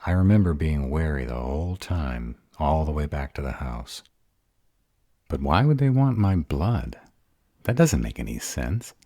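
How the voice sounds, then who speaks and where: A man playing an arrogant, protective vampire lover in a roleplay audio drama, husky and deliberate. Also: very animated, mellow, no echo, clean studio sound, exaggerated voice. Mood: puzzled, serious. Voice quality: husky, very deep voice. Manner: haughty, deliberate delivery.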